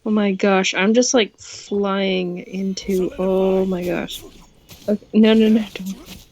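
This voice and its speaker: A woman's voice: medium pitch, steady tone, takes it slowly, darkish tone. A young woman streaming a game, chatting casually and exclaiming readily as she reacts to play. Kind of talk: casual co-op gameplay chat.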